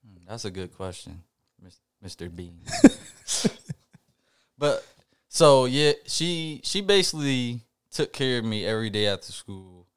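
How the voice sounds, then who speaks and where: A young man co-hosting a podcast, talking in a reflective, halting way with many fillers and restarts. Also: mid-range voice, very animated, clean tone. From Puerto Rican family background. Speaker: podcast co-host. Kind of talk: casual podcast chat.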